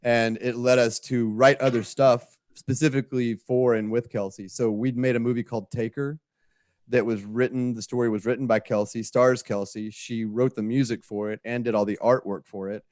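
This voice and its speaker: Conversational man, mid-pitched and dry. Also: steady tone, moderate pace, a little mellow, no echo. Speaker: show creator, panelist. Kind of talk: casual panel chat.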